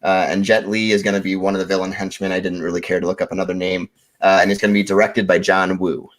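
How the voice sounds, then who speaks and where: A man giving a casual, rambling movie pitch as a podcast contestant. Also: little pitch movement, fast.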